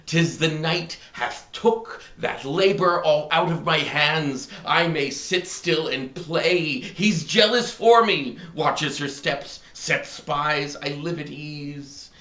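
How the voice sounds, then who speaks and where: A man playing a smug, contented kept husband in a theatrical, gleeful Elizabethan stage comedy monologue, with drawn-out phrasing. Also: fairly high pitch, sharp tone, pitched above the performer's own voice. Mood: relieved, gloating. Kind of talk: classical stage monologue acting. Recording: echoey room.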